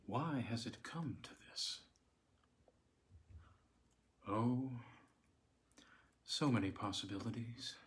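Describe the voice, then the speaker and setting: A man voicing a hushed onlooker who muses wryly about a silent man, half-whispering in a mock-puzzled way. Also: medium pitch. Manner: wry mock-puzzled musing. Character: hushed male onlooker commenting on a quiet man.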